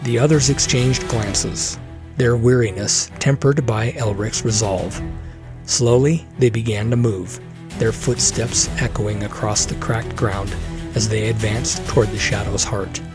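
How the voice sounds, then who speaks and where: A man's voice: on the low side, steady tone, unhurried. A man giving solemn, dramatic scripted narration of a fantasy story. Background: loud music.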